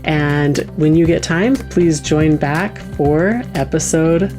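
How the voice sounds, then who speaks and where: A woman with a low-pitched voice presents as a podcast host. She talks candidly and conversationally to listeners, with music clearly playing behind her. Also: takes it slowly. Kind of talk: casual podcast announcement.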